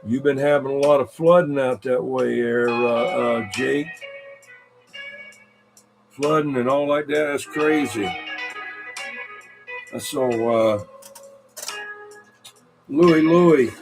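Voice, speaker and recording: Slow, rambling man on CB radio. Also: mid-range voice, music in the background, low-quality recording. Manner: rambling, folksy. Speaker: CB radio operator hosting a radio night show. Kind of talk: casual radio chat.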